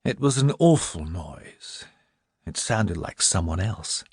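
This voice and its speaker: A man's voice: low voice, very animated, slow, a little mellow, slightly rough, no echo, clean studio sound. A man reading a story as an audiobook narrator, in dramatic scripted narration.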